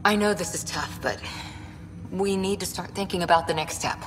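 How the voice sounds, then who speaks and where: An actress playing a pragmatic, duty-minded starship officer in a video game. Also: female voice, on the low side, normal speed, music in the background, close to an everyday voice. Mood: firm, concerned. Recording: reverberant room sound.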